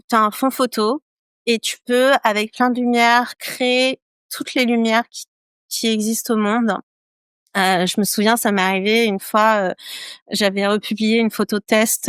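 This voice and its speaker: A woman's voice: mid-range voice, a bit bright, clean tone, dry recording, clean studio sound. A woman from France, a guest in a casual interview, talking with lots of hesitations and repeated phrases.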